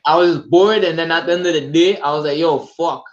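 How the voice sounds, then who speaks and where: A man's voice: on the high side, steady tone, a little fast. Laid-back young man, muffled lo-fi sound. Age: 20s. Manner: laid-back, rambling, heavy fillers and restarts. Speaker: guest musician. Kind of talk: casual podcast conversation.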